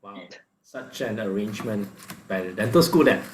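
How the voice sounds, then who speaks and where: A man's voice: fairly low pitch, normal speed. A man moderating a career talk in a conversational style, putting questions to his guests in an echoey room. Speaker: host, moderator. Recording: echoey room with faint noise.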